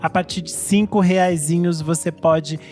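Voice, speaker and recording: A man's voice: on the high side, fairly even intonation, a little mellow. A man from Brazil co-hosting a music podcast, playful and laughing as he chats and wraps up. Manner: playful, laughing, drawn-out sign-off. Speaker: podcast co-host. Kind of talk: casual chat.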